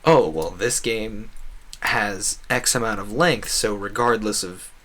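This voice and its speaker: A man's voice: lowish voice, slow pace, a bit bright. A man giving casual, earnest and opinionated commentary on a gaming stream, talking in a rambling way. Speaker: game streamer.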